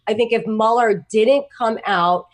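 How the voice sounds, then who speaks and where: A woman co-hosting a political talk show, animated and sarcastic with quick asides. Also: a little high. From New York. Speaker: co-host.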